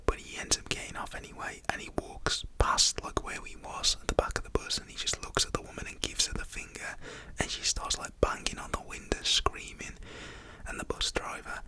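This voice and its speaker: A young man telling a story in a casual, rambling whisper, full of fillers.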